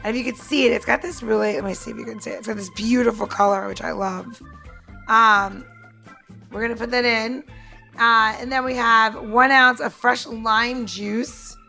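Chatty high-pitched woman with a creaky voice. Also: fairly even intonation, normal speed, pushed and bright, faint music behind. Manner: chatty, talks the listener through each step. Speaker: podcast co-host and bartender. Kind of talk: casual cocktail demonstration.

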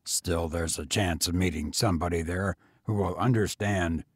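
A man plays a gentleman fallen to tramping in a narrated story. His voice sits low and quiet. He speaks grimly and bitterly, with weary resignation. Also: moderate pace, breathy edge, dry recording, studio quality. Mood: resigned. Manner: grim, subdued, resigned.

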